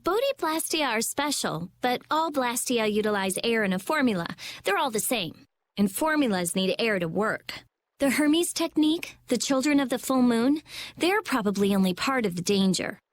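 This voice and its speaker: A woman's voice: on the high side, lively intonation, normal speed, close to an everyday voice. A woman playing a brainy, intense, stubborn teenage girl mage in an anime-style video game. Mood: serious, explanatory.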